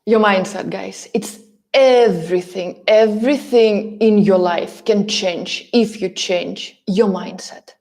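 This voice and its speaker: A woman's voice: medium pitch, takes it slowly, darkish tone. A woman from Russia with a Russian accent gives a motivational coaching talk, speaking emphatically and directly to her listeners with frequent repetition for stress. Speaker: relocation coach and course host.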